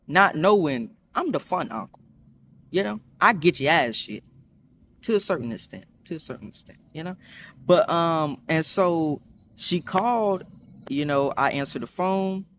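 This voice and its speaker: A man's voice: lively intonation, unhurried, fairly bright tone, a little hiss or hum. Rambling young man telling a storytime. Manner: rambling, many fillers and restarts; acts out quotes with high voices. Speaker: vlogger. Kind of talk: casual storytime vlog.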